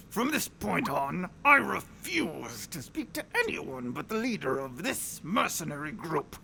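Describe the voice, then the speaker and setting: Male actor as a taunting, gravelly, slow-talking mutant prisoner; swooping voice, audio drama. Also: high-pitched, sharp tone, a little hiss or hum, heavily acted. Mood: menacing. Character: cunning male Nightkin super mutant captive, mad and mocking. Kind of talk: fan audio drama acting.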